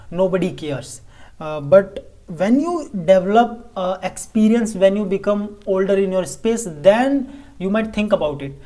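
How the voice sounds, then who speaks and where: Man, Indian English accent, Hindi native speaker, casual vlog to camera, halting delivery, frequent restarts. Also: sits high, a little mellow. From Delhi, India. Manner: halting, often stumbles and restarts phrases. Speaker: vlogger.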